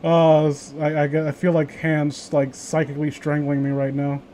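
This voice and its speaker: A man's voice: on the high side, monotone, moderate pace, mellow, a little hiss or hum. A man chatting casually as a co-host, rambling and swearing offhandedly. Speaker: co-host of an online read-along chat. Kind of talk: casual chat.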